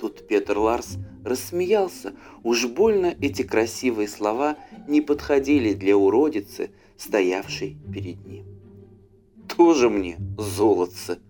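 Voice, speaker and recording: A man reading a fairy tale as an audiobook narrator, in an expressive storytelling style. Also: medium pitch, measured pace, few pauses. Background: faint music.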